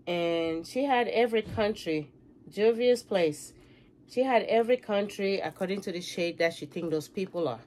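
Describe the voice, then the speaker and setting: A woman's voice: mid-range voice, moderate pace, darkish tone, a little hiss or hum. A middle-aged woman from Cameroon with a Cameroonian accent, chatting to camera about makeup she bought, with laughing asides and repeated words, in a room lined with handbag shelves. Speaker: beauty vlogger. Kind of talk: casual chat to camera.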